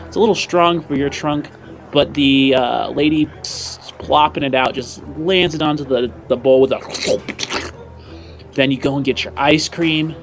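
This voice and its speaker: A man narrates a tabletop roleplaying game as its game master, improvising descriptions. He takes his time, and soft music plays underneath. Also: a little high, measured pace.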